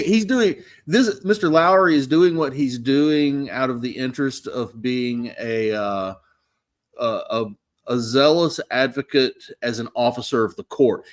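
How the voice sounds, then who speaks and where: A man co-hosting a stream, giving thoughtful explanations in casual chat. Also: medium pitch.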